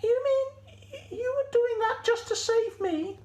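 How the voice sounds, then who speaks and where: Squeaky, anxious little sheep. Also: a little slow, darkish tone, roomy sound. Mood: tearful, touched. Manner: hesitant, stammering and plaintive.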